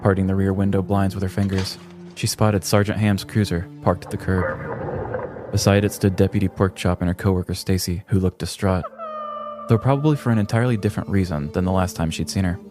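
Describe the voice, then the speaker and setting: A man narrating a scripted true-crime comedy podcast in a theatrical, deliberate storytelling manner. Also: low voice, steady tone, music in the background.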